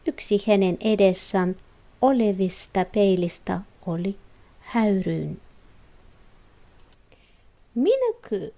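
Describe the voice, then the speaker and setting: Woman telling a fairy tale, lively intonation. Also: mid-range voice. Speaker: storyteller narrating a children's fairy tale. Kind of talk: expressive scripted storybook narration.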